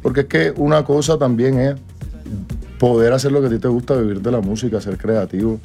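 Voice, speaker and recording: A man's voice: medium pitch, fairly even intonation, fairly quick, mellow, faint music behind. A Colombian man in his twenties, a singer talking casually in an interview, rambling with slang and restarts. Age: 20s.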